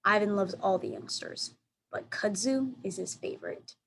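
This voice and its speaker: A woman teacher reading a children's storybook aloud in expressive narration. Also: medium pitch, moderate pace, poor audio. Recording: clear background noise.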